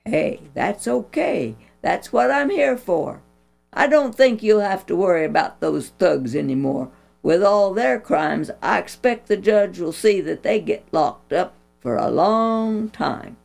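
A woman's voice: medium pitch, unhurried, vocal fry, soft background music, heavily acted. Woman voicing a kindly, folksy policeman. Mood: reassuring. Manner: calm, folksy, reassuring. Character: big, kindly man who is a policeman. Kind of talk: storybook reading.

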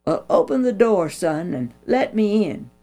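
A woman voicing a big, kindly policeman who speaks calmly and folksily. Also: medium pitch, measured pace, faint music behind, pitched above the performer's own voice. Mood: reassuring. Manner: calm, folksy, reassuring. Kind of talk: storybook reading.

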